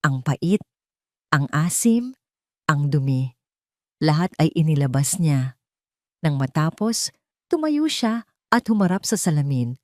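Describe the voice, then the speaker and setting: A woman narrating a dramatic story in a scripted storytelling style, slowing down and lifting her voice for tense moments. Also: low voice, big pitch swings, a little mellow, no echo, clean studio sound.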